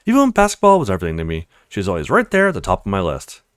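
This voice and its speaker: A man's voice: low-pitched, huge pitch swings, racing, clear, resonant tone, no room sound, studio quality, pitched below the performer's own voice. A man voicing a loyal, protective young male best friend in a visual novel, speaking earnestly and bluntly to reassure. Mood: reflective. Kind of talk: visual novel character read-aloud.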